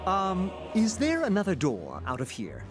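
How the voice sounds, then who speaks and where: Game role: sly villain losing his nerve, jittery, very animated pitch. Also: measured pace, over music, exaggerated voice. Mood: panicked. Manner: jittery, stammering. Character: sly, nervous male trickster villain. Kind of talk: comedic game cutscene acting.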